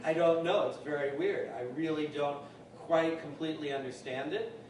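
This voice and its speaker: A man's voice: fairly high pitch, steady tone, normal speed, darkish tone. A man giving a talk at a university speaks in a loose, thinking-aloud way as he answers questions from the audience. He is recorded from far off in an echoey lecture hall, with noise underneath.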